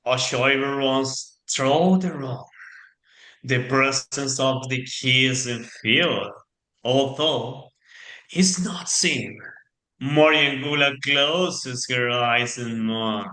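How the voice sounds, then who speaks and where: A male narrator tells a scripted story theatrically. His delivery is extremely slow and halting, with a sharp, bright tone, in an echoey recording. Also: medium pitch. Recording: echoey room, faint music.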